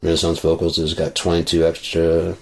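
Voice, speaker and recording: A man's voice: low-pitched, monotone, normal speed, gravelly. A man talking casually on a livestream, rambling and swearing freely, into a processed studio microphone.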